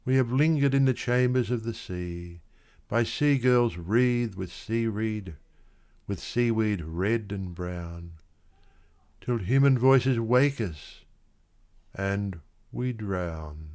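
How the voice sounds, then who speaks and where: A man reads poetry aloud in a low voice. He takes his time, very slow and measured, shaping each phrase expressively as a dramatic literary recitation. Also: a little mellow. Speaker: poetry reader.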